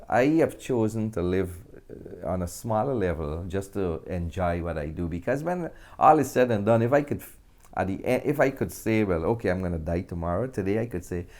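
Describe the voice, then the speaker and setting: Interview guest: male, middle-aged, Guyanese accent, lower register, reflective and conversational. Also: a little mellow. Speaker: interview guest, actor and filmmaker.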